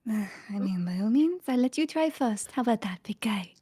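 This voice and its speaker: A woman voicing a haughty, dry fancy lady adventurer in a tabletop game, breathy, hesitant and condescending. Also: on the high side, expressive, fairly quick. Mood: careful, wry. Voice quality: breathy, hushed. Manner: hesitant, condescending, breaks into small laughs. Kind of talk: in-character tabletop roleplay.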